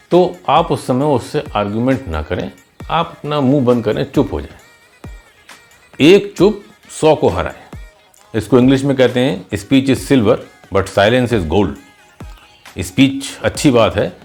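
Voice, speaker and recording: A man voicing a wise, kindly old village woman who gives patient, gentle instruction in a moral story. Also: mid-range voice. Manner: patient, instructive, addresses listener as child.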